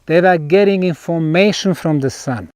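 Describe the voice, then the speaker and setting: A man guiding an on-site tour outdoors, explaining in emphatic, deliberate short phrases with pauses. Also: a little high, darkish tone, clear, resonant tone, dry recording. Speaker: tour guide and researcher.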